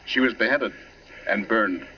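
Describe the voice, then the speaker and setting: A man's voice: on the low side, a little slow, natural voice. A man acting a talkative, drily amused doctor who relishes grim lore, in 1940s radio drama style. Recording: noisy muffled 1940s radio recording.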